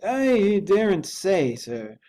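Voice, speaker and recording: A man voicing a frightened, dogged butler who speaks haltingly and deferentially in a hoarse, strained voice, as in an audiobook. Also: sits high, very animated, warm tone, pitched above the performer's own voice, full character voice. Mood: dread. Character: frightened, dogged, servile old-fashioned butler.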